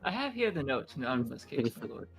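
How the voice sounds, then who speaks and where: A man's voice: mid-range voice, sing-song, quick pace, soft background music. A man voicing an earnest defense lawyer who makes formal, declamatory accusations in a courtroom game fan dub. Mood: firm, formal.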